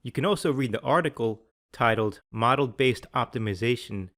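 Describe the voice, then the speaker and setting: A middle-aged man, a sales and applications engineer, reading a scripted technical explainer in a measured, precise way. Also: mid-range voice, a bit bright, dry recording, clean studio sound.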